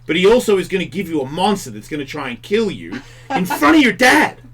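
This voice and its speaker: A man's voice: sits high, sing-song, a little fast, a crowd in the background. A man in his forties hosting a casual radio talk show, telling rambling stories and laughing often.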